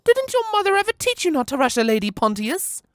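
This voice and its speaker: A man voicing a prim, theatrical noble mare with a high, affected falsetto. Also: sing-song, fairly quick, no echo, studio quality.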